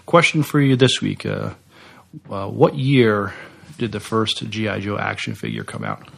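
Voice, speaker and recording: A man co-hosting a podcast, chatting casually in hesitant, halting phrases. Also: fairly low pitch, animated, creaky, fairly bright tone. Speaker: podcast co-host. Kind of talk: casual unscripted podcast chat.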